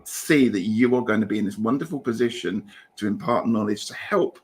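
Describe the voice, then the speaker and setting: A man with a British accent giving gentle, reassuring tarot readings over a video call. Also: on the low side, fairly quick. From Britain. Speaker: guest tarot reader. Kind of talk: casual livestream tarot reading.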